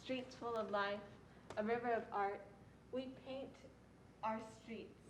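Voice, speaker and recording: A woman's voice: a little high, fairly even intonation, slow, low-quality recording. A young woman, a student narrator reciting scripted readers theatre lines. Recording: faint background noise.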